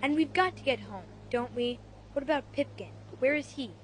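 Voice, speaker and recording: A woman's voice: high-pitched, expressive, moderate pace, a little hiss or hum, low-quality recording. A woman voicing an anxious, earnest young boy on a spooky adventure in an audio drama. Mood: worried. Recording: loud music behind the voice.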